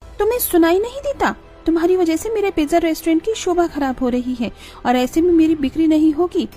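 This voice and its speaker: A woman's voice: very high-pitched, a little fast, faint music behind. A woman voicing a jealous, haughty rich restaurant owner in a moral cartoon, with a scolding, sneering manner. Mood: angry scolding. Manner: scolding, sneering, sharp-tongued. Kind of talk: Hindi moral cartoon voice acting.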